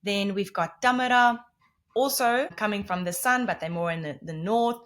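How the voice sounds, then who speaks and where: A woman's voice: medium pitch, moderate pace, fairly bright tone. Rambling Namibian woman, Afrikaans native. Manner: rambling, self-correcting explanations with many restarts. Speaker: podcast guest. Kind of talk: conversational interview.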